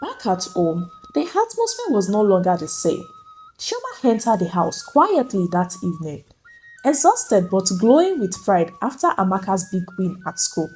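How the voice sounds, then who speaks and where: A woman's voice: medium pitch, huge pitch swings, moderate pace, darkish tone, poor audio. A woman storyteller reading a dramatic scripted folktale as narrator. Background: faint music.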